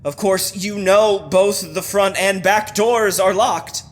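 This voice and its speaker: A male actor as a menacing man toying with his victim in an old-style radio horror play. His voice is high for a man, slow and deliberate, with a taunting edge. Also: fairly even intonation, soft background music. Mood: taunting menace. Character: menacing, teasing brother-in-law stalking a woman. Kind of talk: old-time radio horror drama acting.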